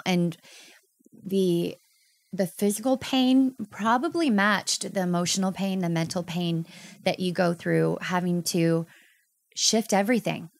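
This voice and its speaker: Gravelly-voiced woman in her thirties, heartfelt. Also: on the low side, no room sound. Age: 30s. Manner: earnest, heartfelt storytelling with emotional emphasis. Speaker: podcast guest. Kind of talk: candid personal interview.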